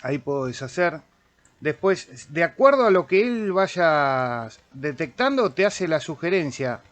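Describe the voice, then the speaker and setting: A man with an Argentine Spanish accent giving an informal software tutorial, thinking aloud as he goes. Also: fairly high pitch, very animated, a little slow. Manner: informal, thinking aloud while clicking through. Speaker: amateur radio operator demonstrating software. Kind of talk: casual screen-recorded software tutorial.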